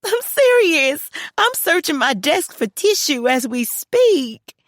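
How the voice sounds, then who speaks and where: A woman voicing an excitable grown daughter who gushes and squeals on the phone. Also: sits high, slow pace, no echo, studio quality, pitched above the performer's own voice, exaggerated voice. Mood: gushing. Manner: squealing, teasing, emotional.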